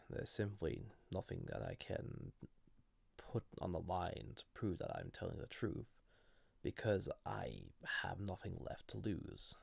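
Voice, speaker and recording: Male actor as banished ancient entity for intimate audio roleplay: very gravelly, unhurried, hesitant, flirty with a dangerous edge. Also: sits low, no echo, full character voice. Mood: curious, guarded. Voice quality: gravelly, husky, breathy. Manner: hesitant, softly intimate, deliberate. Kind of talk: scripted audio roleplay addressed to listener.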